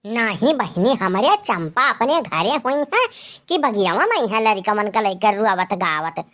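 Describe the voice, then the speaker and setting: A woman's voice: medium pitch, sing-song, unhurried, a bit bright. A woman voicing a protective, scolding Awadhi-speaking village mother in a cartoon comedy, with a blunt, grumbling way of talking. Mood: doubtful, worried.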